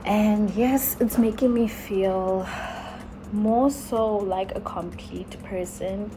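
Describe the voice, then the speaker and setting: A young woman from Africa, musing slowly in a casual travel vlog, full of sighs and likes. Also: mid-range voice, poor audio. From South Africa. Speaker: vlogger. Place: in Italy while travelling.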